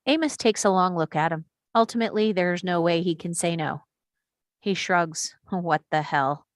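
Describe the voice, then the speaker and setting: A woman reading the action lines of a screenplay at a table read, in a steady, descriptive way. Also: fairly low pitch, moderate pace, no room sound, studio quality. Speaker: reader of stage directions.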